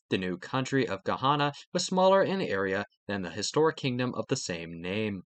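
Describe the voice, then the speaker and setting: A man reads a history textbook aloud in a lively, rising-and-falling voice, with an ordinary mid-range pitch. He sometimes trips and restarts a phrase, then turns to the student with a quick aside. The sound is close and dry, with no room echo. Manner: occasional stumbles and restarts. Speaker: textbook reader.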